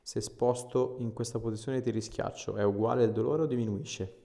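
A man's voice: medium pitch, steady tone, moderate pace, vocal fry, mellow. A man in his thirties working as a chiropractor, giving short, informal instructions and chatting casually during a treatment. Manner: short, informal directive phrases. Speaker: chiropractor and osteopath. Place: small indoor clinic room. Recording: echoey small treatment room.

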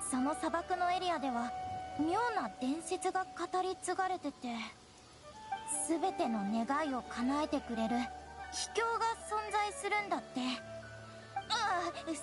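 High-pitched, hesitant teenage ranger girl. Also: expressive, moderate pace, over loud music. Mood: thoughtful. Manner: hesitant, earnest. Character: earnest, shy teenage girl forest ranger. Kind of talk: Japanese anime game acting.

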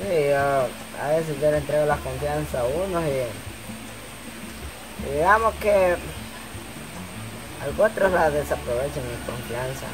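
A man's voice: on the high side, a little slow, pauses often, noisy background, close to an everyday voice. A young man playing a serious, reluctant lender in an amateur drama, hesitant and repetitive, recorded outdoors. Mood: serious, let down. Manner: hesitant, repeats himself. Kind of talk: amateur acted family drama, improvised dialogue. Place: outdoors in a rural yard under trees. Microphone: camera a few metres away.

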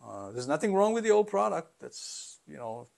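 A middle-aged man giving conversational, explanatory interview answers in a halting way, as a company founder. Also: fairly high pitch, sing-song, gravelly, a little mellow. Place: carpeted listening room, indoors.

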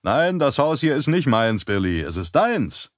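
A man's voice: sing-song, a little slow, no room sound, clean studio sound. A man voicing a jovial, heavyset middle-aged male crime boss with an effusive, hearty manner. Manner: effusive, booming, back-slapping.

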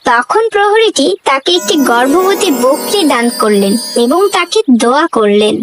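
Lively high-pitched woman storyteller. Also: normal speed, soft background music. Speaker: narrator. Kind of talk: scripted storybook narration.

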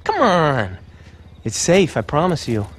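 A man's voice: a little high, sing-song, a little slow. A man playing a cocky, intense extreme sports bro in a video game, boastful and goading in his talk. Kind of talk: video game cutscene dialogue.

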